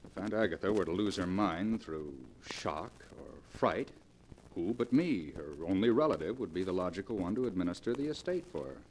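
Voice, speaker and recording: An actor playing a scheming, debt-ridden gambler nephew in 1940s radio mystery style, with insinuating, deliberate delivery. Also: male voice, deep voice, animated, darkish tone. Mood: sly, insinuating. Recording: noisy old 1940s radio recording.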